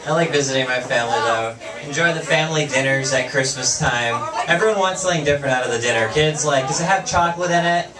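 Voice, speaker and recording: A young man performing a stand-up comedy set on a small bar stage, talking casually and dropping into quick voiced asides. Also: mid-range voice. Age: young adult. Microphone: handheld mic, far camera. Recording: echoey bar from far off, crowd laughter.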